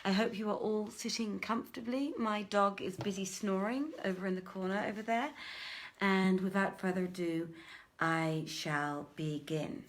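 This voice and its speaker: A woman hosting an informal story reading, speaking deliberately with a theatrical touch as a storyteller. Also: mid-range voice. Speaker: host and reader, theatre director. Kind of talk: informal livestream welcome, then dramatic storybook reading.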